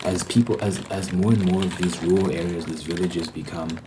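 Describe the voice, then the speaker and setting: A man with a low voice talks through his opinions in an unscripted ASMR ramble. He explains step by step and circles back to repeat points for emphasis. There is clear noise behind him. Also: steady tone, brisk. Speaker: host of a rambling ASMR channel.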